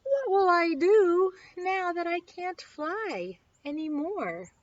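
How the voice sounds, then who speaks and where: A woman voicing an anxious, dejected little male bumblebee in a children's storybook, plaintive and exclaiming with drawn-out words. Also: very high voice, expressive, no room sound, recorded from a distance, voice pushed up, full character voice. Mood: despairing. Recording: dull lo-fi sound.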